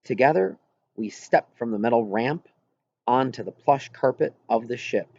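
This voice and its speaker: Husky male storybook narrator, slightly muffled. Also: medium pitch, animated, moderate pace, a little mellow, no echo. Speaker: audiobook narrator.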